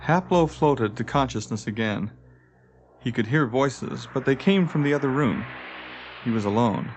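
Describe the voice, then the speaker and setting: A man's voice: medium pitch, lively intonation, moderate pace, soft background music. A man reading scripted fantasy narration dramatically, as an audiobook narrator. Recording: dull lo-fi tape transfer.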